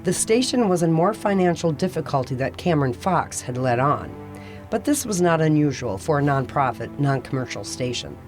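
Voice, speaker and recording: A woman playing the composed narrator of an audio theater mystery, telling the story in a measured, scripted way. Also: deep voice, very animated, darkish tone, faint music behind.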